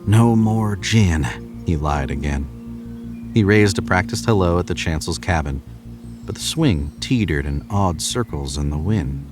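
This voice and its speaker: A man's voice: rumbling low, lively intonation, normal speed, over music. A man narrating scripted horror fiction in a dramatic storytelling style.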